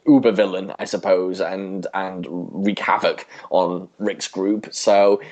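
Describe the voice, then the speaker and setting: Low-voiced man, halting podcast talker. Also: fairly even intonation, darkish tone. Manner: hesitant, halting, rephrasing as he goes. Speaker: podcast co-host. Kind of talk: casual podcast discussion of a TV show.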